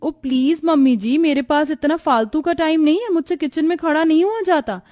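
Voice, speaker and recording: A woman voicing a haughty, spoiled young daughter-in-law in a cartoon story, shrill, snapping and taunting. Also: a little fast, a little mellow, close to an everyday voice. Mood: defiant.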